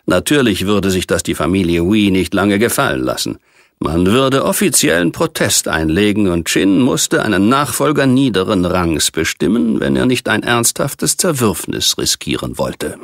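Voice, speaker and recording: A man narrating a science fiction novel as an audiobook, reading in a measured, precise literary manner. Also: fairly low pitch, sing-song, dry recording, clean studio sound.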